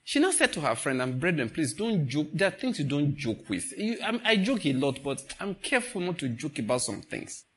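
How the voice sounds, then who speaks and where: Animated high-pitched Nigerian male preacher. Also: brisk, few pauses, slightly rough. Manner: animated storytelling, acts out quoted speech, slips into Pidgin. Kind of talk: informal sermon with stories and jokes.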